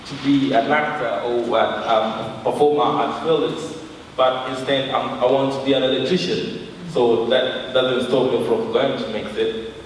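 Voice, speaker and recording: Guy talking slow and hesitant, super echoey hall, mic far away. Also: medium pitch, steady tone. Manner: halting, hesitant. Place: large reverberant hall. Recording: very echoey hall, distant mic, faint noise.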